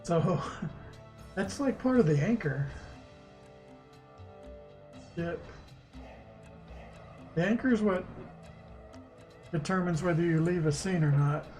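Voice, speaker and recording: Higher-pitched man thinking aloud over music. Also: a little slow, long pauses, darkish tone. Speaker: game developer streaming. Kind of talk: casual thinking aloud while coding. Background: music, keyboard typing.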